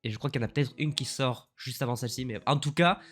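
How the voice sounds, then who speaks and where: A man with a head cold, his voice a bit lower and husky, chatting enthusiastically through a year-in-review vlog. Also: fast, a bit bright. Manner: chatty, enthusiastic, rambling with fillers. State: congested with a cold. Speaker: YouTuber.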